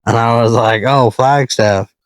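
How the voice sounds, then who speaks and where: A man's voice: mid-range voice, fairly even intonation, a little slow, no echo. A man from California with a slight Southern drawl, co-hosting a podcast and telling rambling, profane stories in casual chat. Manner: laid-back, profane, rambling storyteller. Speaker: podcast co-host.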